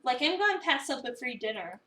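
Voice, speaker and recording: A woman's voice: high-pitched, very animated, fairly quick, fairly bright tone. A woman playing a sassy, sarcastic young cafe employee in a dramatic reading of a visual novel. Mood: defiant. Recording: roomy, echoey, distant mic.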